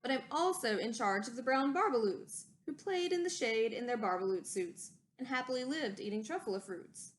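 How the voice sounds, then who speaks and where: A woman's voice: a little high, expressive, normal speed, few pauses, a little hiss or hum, lightly acted. A woman voicing an indignant old forest creature from a storybook, scolding emphatically in rhyme. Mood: indignant, sorrowful.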